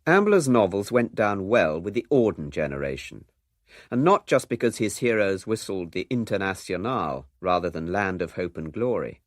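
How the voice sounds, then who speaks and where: A man's voice: sits low, big pitch swings, normal speed, no echo, clean studio sound. A man presenting a documentary in scripted narration.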